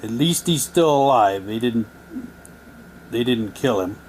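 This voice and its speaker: A man with a mid-pitched voice presents items in a casual, unpolished way. He moves between informal commentary and reading aloud, and there is some audible noise behind him. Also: animated, measured pace, darkish tone. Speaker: presenter showing audio drama props. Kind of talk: casual show-and-tell, reading prop documents aloud. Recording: noticeable background noise.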